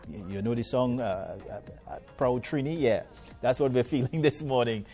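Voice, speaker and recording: A man's voice: medium pitch, normal speed, dark tone, faint music behind. A man hosting a morning TV show who interviews a guest with friendly, encouraging, folksy questions. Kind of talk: live TV interview.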